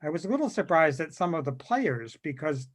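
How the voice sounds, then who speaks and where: A man's voice: on the high side, sing-song, brisk. A man chatting casually on a video call, telling things in a rambling, conversational way. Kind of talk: casual anecdotal chat.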